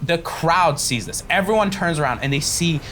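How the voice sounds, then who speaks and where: A man runs a tabletop game with a bright, forceful voice on the higher side. His pitch moves in lively swings as he improvises vivid descriptions. There is clear noise behind him. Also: moderate pace. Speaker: game master.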